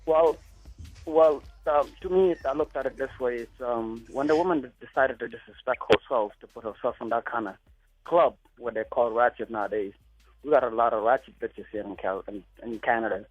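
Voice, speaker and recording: A man's voice: medium pitch, fairly quick, slightly rough. A man from South Sudan calling in to a radio talk show, speaking earnestly and repeating himself often. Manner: earnest, repeats himself, many "you know what I mean". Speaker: caller. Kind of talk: phoned-in radio call. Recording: lo-fi phone line with faint music.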